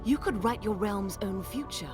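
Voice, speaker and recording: A woman playing a calm, forward-looking advisor in a fantasy game. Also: medium pitch, moderate pace, over music, lightly acted. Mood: measured, hopeful. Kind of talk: scripted fantasy game dialogue.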